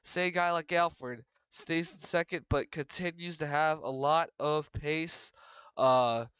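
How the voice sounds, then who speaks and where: A young man with a stuffy, congested voice runs through the standings before a race, stumbling into fillers and restarts as he goes. His voice sits a little high and comes through very smooth and clear. The sound is dry and close, with no room around it. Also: fairly even intonation, slow, a bit bright, dry recording. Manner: rambling, filler-heavy, frequent restarts. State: congested from a cold. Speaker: race commentator for a sim racing league. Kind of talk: solo race preview commentary.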